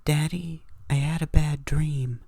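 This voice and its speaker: A man's voice: fairly high pitch, fairly even intonation, takes it slowly, creaky, mellow, no echo, clean studio sound, pitched above the performer's own voice, heavily acted. A man voicing a frightened little girl in a horror story reading. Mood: scared, hushed. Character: frightened little girl telling her father a nightmare.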